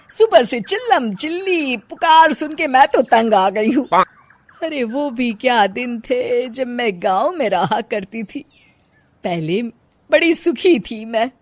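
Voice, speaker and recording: Slow, grumbling middle-aged mother-in-law played by a woman. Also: on the high side, dry recording. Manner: complaining, exclamatory, drawn-out delivery. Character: grumbling dramatic village-bred mother-in-law, fond of her daughter-in-law. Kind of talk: dramatized Hindi family story cartoon.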